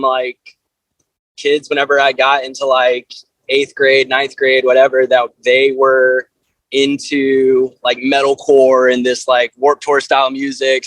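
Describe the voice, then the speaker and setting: A man from South Carolina chatting casually as an interview guest, in a loose, halting way full of filler words. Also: medium pitch, fairly bright tone, no room sound, rough recording. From South Carolina, USA. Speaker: interview guest, band frontman. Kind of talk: casual chat.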